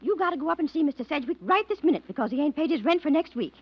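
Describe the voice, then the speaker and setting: A woman's voice: sits high, lively intonation, rapid-fire, dry recording. An actress playing a bossy, penny-pinching American landlady in a 1940s radio drama, folksy and nagging. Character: money-obsessed, nagging boarding-house landlady, bossy wife. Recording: noisy, muffled old radio recording.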